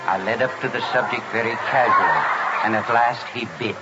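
An actor playing a smooth-talking, scheming Broadway producer who tells his story theatrically in old-time radio drama style. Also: medium pitch, normal speed, loud music behind the voice, close to an everyday voice, low-quality recording. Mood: wry storytelling. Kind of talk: old-time radio drama acting with first-person narration.

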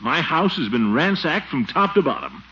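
Casting: blustery, pompous middle-aged man, wildly animated pitch, 1940s radio comedy, muffled old recording. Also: normal speed, a little hiss or hum. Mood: aggrieved. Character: blustery, excitable middle-aged small-town commissioner, pompous and suspicious.

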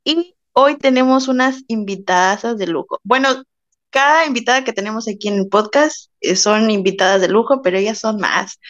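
Casting: woman co-host, friendly and upbeat, slightly high voice, dull lo-fi audio. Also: moderate pace, a bit bright. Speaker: podcast co-host. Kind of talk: casual chat.

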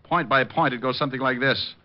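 An actor playing a suave, quick-witted male private detective in a 1950s radio drama, cool and sardonic. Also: medium pitch, lightly acted. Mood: calm, knowing. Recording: dull old radio broadcast.

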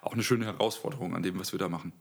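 A man in his twenties, an opera singer, answering interview questions thoughtfully with many pauses and restarts. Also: deep voice, gravelly, husky. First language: German. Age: 20s.

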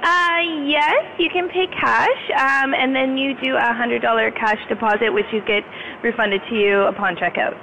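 Casting: woman, hotel receptionist, high-pitched with fry, polite service manner, noisy phone line. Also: moderate pace, sharp tone.

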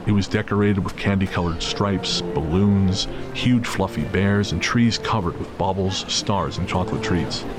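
A man's voice: low voice, normal speed, few pauses, over music. A man narrating a scripted dramatic story as the narrator of an audio drama. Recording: clear background noise of city ambience.

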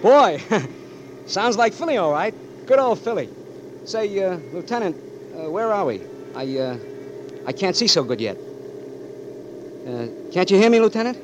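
A young Marine back from the war who can't see, gruff and full of street slang. His voice sits a little high. The old radio broadcast carries a steady hiss. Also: measured pace, pauses often. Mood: eager. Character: young blinded Marine veteran, bitter and scared. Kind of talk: 1940s radio acting.

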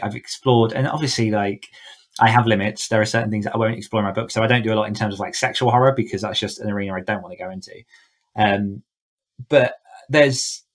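A man chatting casually as a podcast guest, thinking aloud in a reflective, conversational way with frequent asides. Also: fairly low pitch. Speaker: podcast guest, horror author. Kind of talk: casual interview chat.

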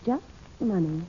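A woman's voice: medium pitch, mellow, a little hiss or hum. An actress playing a sly, mock-polite female blackmailer who poses as a wife, in 1940s radio drama style on a muffled old recording. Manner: coy, mock-polite, insinuating.

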